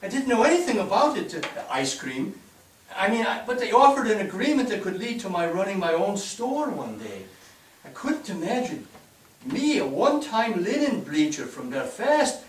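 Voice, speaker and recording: Man playing an earnest wistful immigrant telling his life story, high lilting pitch, echoey stage. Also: a little hiss or hum. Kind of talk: staged play reading. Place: theatre stage, recorded from a distance. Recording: echoey stage, distant mic.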